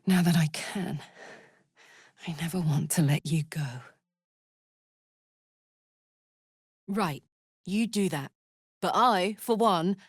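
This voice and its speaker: A woman's voice: fairly low pitch, slightly rough, no echo. Tough warrior gal from a video game, blunt n sweary, big hearted, takes her time, super dry studio audio. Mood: tender, earnest. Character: brash, big-hearted, battle-hardened adult woman warrior, earthy and impulsive. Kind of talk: video game character voice acting. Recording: dry close studio recording.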